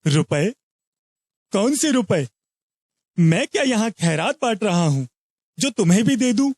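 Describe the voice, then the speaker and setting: A man's voice: high voice, sing-song, unhurried, darkish tone, dry recording, clean studio sound, full character voice. A man voicing a greedy, heartless, bullying village moneylender in a cartoon moral story, bossy and scornful.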